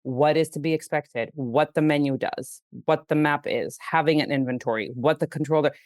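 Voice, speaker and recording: A woman's voice: sits low, moderate pace, darkish tone, no echo. A woman in her thirties from Greece, a game studio head talking casually on a podcast, chatty and laughing easily. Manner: chatty, laughs easily, many "like"s and "you know"s. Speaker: game studio CEO, guest.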